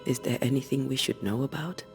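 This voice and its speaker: A man's voice: medium pitch, a little fast, a little mellow, soft background music, close to an everyday voice. An actor playing an earnest, courteous ghost hunter of colonial times in a video game, direct in his questioning. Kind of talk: dramatic video game acting.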